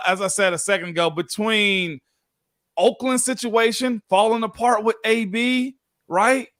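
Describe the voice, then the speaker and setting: A laid-back man in his thirties talks sports as a co-host, easygoing and chatty, drawing out his phrases. His voice sits high for a man. The sound is dry and close. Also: fairly bright tone, no room sound. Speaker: co-host, former football player. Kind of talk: casual sports talk.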